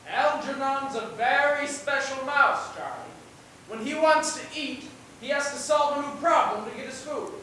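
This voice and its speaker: Casting: female student actor as a measured, formal scientist professor, high-pitched, heard from a distance on stage. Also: fairly bright tone. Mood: matter-of-fact. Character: confident, formal professor running an experiment. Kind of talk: amateur student stage acting. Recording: echoey stage, distant mic, faint hiss.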